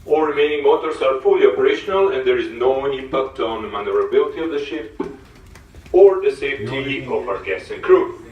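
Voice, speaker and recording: A man speaking as a cruise ship captain, making a formal, measured and courteous announcement with halting pauses over a public address speaker. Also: mid-range voice, expressive, highs cut off. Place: indoors on a cruise ship, heard over loudspeakers by a walking passenger. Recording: echoey ship PA from far off, crowd chatter. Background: passengers chattering.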